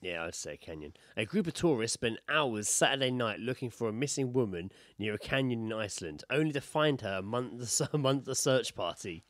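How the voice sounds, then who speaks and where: A man's voice: mid-range voice, lively intonation, fairly quick, hardly any pauses, dry recording, studio quality. A man co-hosting a podcast, chatting casually and reading out odd news stories in an informal, sweary way. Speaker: podcast co-host.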